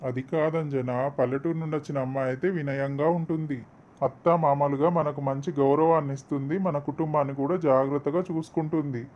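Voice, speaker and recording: A man's voice: fairly high pitch, fairly even intonation, fairly quick, natural voice. A man voicing a reasonable, teasing middle-aged husband and father in a cartoon moral story, persuasive and wry. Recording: dull lo-fi, slightly noisy track.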